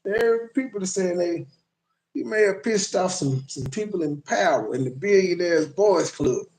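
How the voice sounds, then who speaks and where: A man's voice: sits high, animated, moderate pace, a little mellow, roomy sound, rough recording. A man hosting a livestream, rambling and profane as he chats with viewers and reacts to clips. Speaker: livestream host. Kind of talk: casual live commentary.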